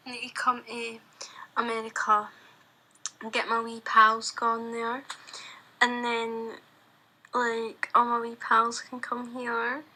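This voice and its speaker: A woman's voice: on the high side, monotone, slow, pauses often, sharp tone. A woman with an Irish accent in a chatty, gushing voice message that is played back on a phone.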